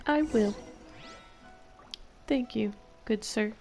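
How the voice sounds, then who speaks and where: A woman streaming a video game with a fairly high voice. She chats casually and playfully, answering the characters, with faint game music behind her. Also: drawn-out words, warm tone. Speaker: gameplay streamer. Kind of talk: casual live game commentary.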